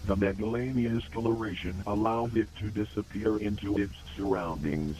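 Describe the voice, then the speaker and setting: Low-voiced man reading formal announcements. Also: background noise. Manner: formal, measured, impassive. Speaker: narrator of a mock public warning video. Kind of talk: scripted documentary-style announcement narration.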